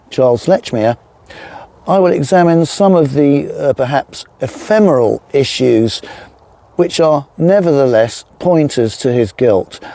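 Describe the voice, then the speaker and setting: A man with a gravelly voice and a slight cold, presenting a history series in a measured, explanatory way with small asides. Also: medium pitch, warm tone. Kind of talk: scripted true-crime history narration with asides.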